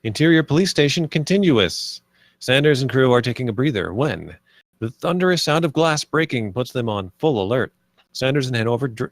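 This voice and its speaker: A man's voice: medium pitch, no room sound. A man reading stage directions as narrator at a screenplay table read.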